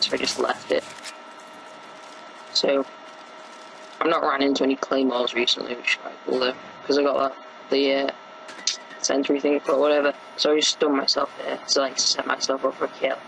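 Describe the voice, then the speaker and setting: Teen boy, sore gravelly throat, flat rambling game commentary, faint hiss behind. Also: mid-range voice, a little fast, poor audio. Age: teens. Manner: rambling, many fillers and restarts. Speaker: gameplay commentator. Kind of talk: casual gameplay commentary.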